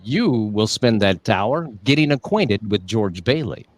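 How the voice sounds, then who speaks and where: A man's voice: lowish voice, animated, a little slow. A man playing an authoritative senior angel giving grave orders in a radio play. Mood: grave, commanding. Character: commanding senior angel, superintendent of heaven. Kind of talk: live radio play acting.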